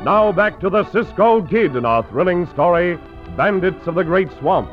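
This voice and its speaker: A man narrating as an old-time radio announcer with a smooth, dramatic delivery. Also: high voice, lively intonation, moderate pace. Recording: muffled old radio with organ.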